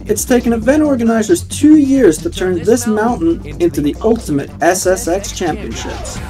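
A man's voice: sits high, expressive, moderate pace, dark tone, low-quality recording. A young man on a headset mic, giving a playful, upbeat mock radio DJ announcement in his own voice. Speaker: streamer. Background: faint game music.

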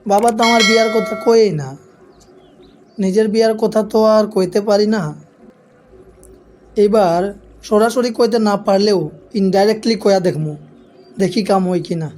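A man's voice: high-pitched, steady tone, moderate pace, long pauses, darkish tone, natural voice. A man voicing a frustrated young bachelor son in a comic cartoon, whining and plaintive. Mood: whining, hopeful.

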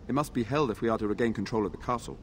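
An actor playing a dutiful, earnest knight in a fantasy game, with formal wording. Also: male voice, medium pitch, racing, slight background noise, natural voice. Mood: resolute.

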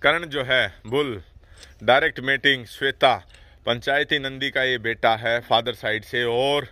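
Male host, bright forceful tone, steady explanatory delivery, outdoor farm walk-through. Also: mid-range voice, a little slow, no echo. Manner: steady explanatory, frequent "haan haan" backchannels. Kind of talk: on-site explanatory commentary.

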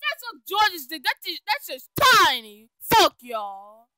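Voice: very high-pitched, wildly swooping pitch, slow, sharp tone, no echo. An amateur performer doing a profane comic cartoon fandub, exclaiming and cursing.

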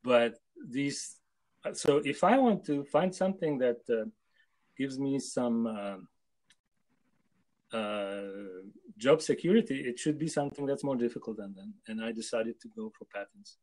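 An older man from Czechoslovakia answering interview questions on a video call, hesitant and full of pauses. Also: medium pitch, darkish tone, rough recording. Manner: hesitant, with many pauses and fillers. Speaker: guest translator. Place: home room with photos on wall.

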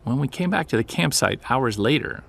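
A man's voice: mid-range voice, lively intonation, brisk, vocal fry. A man acting as a witness who recounts an unsettling childhood memory in a hesitant, conversational audio drama style. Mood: uneasy, reflective. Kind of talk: naturalistic audio drama storytelling.

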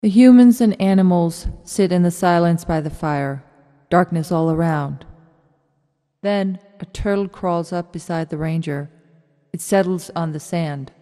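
Female narrator for radio drama stage directions, low and mellow, long reverb. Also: a little slow.

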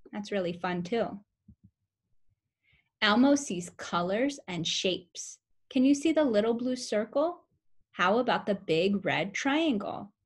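A young woman with a fairly high voice reads a story aloud for small children. She takes it very slowly, in the simple, expressive way of a preschool teacher, often putting questions to her young listeners. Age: young adult. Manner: simple, expressive phrasing aimed at toddlers, with questions.

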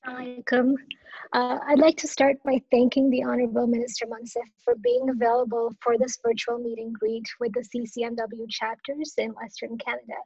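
Young woman, earnest thanks speech, husky tone, lo-fi earbud call sound. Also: a little high, flat delivery, a little fast, dark tone, no room sound. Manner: earnest, grateful, with fillers and restarts. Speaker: chapter executive member giving thanks. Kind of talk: formal closing thank-you speech. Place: home room with brick wall. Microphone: wireless earbuds on a video call. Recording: dull lo-fi video call audio.

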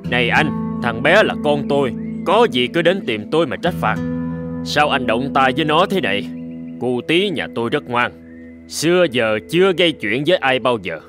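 A male actor voices a poor peasant father in a folk-tale cartoon. He is caring, humble and earnest, with a polite mid-range male voice. Music is clearly audible underneath. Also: mid-range voice, big pitch swings, moderate pace, natural voice. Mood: protesting.

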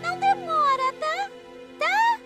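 A woman's voice: very high voice, lively intonation, slow, heavily acted. A Brazilian woman voicing a cheerful, bossy little girl motorbike in a cartoon dub, perky and childlike. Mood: pleading. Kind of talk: cartoon dubbing. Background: loud music.

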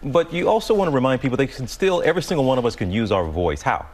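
A man anchoring a morning news show in a studio, asking interview questions in a conversational, halting way. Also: medium pitch, big pitch swings.

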